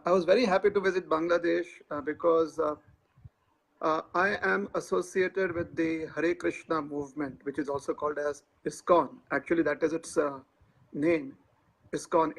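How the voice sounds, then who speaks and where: Hesitant gravelly man in his forties. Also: fairly high pitch, steady tone, moderate pace, a bit bright, low-quality recording. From India. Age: 40s. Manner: hesitant, many filler sounds. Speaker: interview guest. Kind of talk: live online interview.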